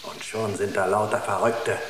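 An actor playing a bitter, suspicious engineer in a radio drama, speaking in sardonic, accusing short phrases. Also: male voice, lowish voice, steady tone, moderate pace, a bit bright, natural voice. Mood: furious scorn. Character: bitter, scornful, drug-worn male engineer, suspicious of comrades. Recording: roomy echo, clear background noise.

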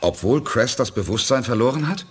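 A man playing a calm, resolute space commander in a 1980s German radio play, firm and earnest in his delivery. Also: medium pitch, a little fast, slightly rough. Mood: concerned. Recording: noisy 1980s radio drama production.

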